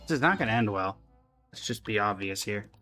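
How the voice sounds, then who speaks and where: A man's voice: on the low side, expressive, fairly quick, bright, forceful tone, faint music behind. A man casually reacting and joking as he streams a video game. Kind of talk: casual live gameplay reaction.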